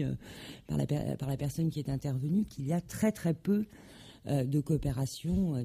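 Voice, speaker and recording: A woman delivers a formal conference talk in a very dark, husky voice with a gravelly edge. She speaks in a measured way, pausing often and correcting herself as she goes. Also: sits low. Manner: measured, with frequent hesitations and self-corrections.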